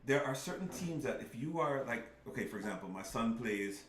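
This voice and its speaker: A middle-aged man lays out his sports argument point by point, earnest and insistent. His voice sits at an ordinary pitch. The mic is set back in an echoey room. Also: lively intonation, a little fast, distant mic, slight background noise. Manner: earnest, argumentative, builds his case step by step. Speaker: podcast co-host. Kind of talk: casual sports debate.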